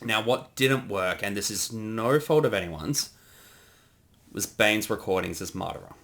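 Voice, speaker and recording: Male co-creator on commentary, lowish voice, explains as he goes. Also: expressive, a bit bright. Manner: explanatory, thinking aloud with pauses. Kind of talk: casual commentary chat.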